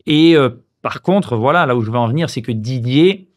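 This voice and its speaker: A man in his thirties from France, a former footballer reflecting on his career in a casual podcast interview, pausing often with hesitations and restarts. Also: medium pitch.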